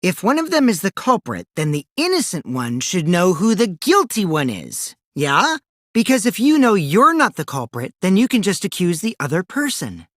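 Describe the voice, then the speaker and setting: A man's voice: high-pitched, huge pitch swings, normal speed, fairly bright tone, dry recording, clean studio sound, exaggerated voice. An actor voicing a mischievous, taunting teenage boy who lies for fun in a video game, theatrical and teasing, swinging from sly to gleeful. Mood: smug, calculating. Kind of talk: animated video game voice acting.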